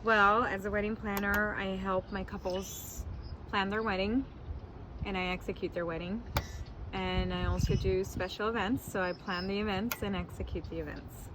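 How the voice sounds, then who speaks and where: A woman's voice: mid-range voice, steady tone, normal speed, noisy background. A woman in her forties who was born in Mexico, answering interview questions as a wedding planner in a candid, casual way, laughing often and swearing now and then.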